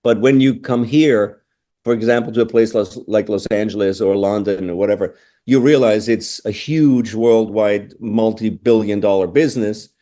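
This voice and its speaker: Casting: middle-aged man from austria, warm dark tone, reflective guest, lots of "you know". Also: lowish voice, steady tone, moderate pace. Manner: thoughtful, restarts phrases, frequent "you know". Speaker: interview guest, drummer. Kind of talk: casual interview answers.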